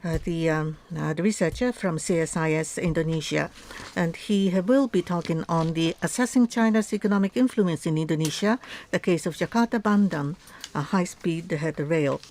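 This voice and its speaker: A woman moderating a workshop, introducing the next speaker haltingly with many fillers. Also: on the low side, a little mellow, a little hiss or hum.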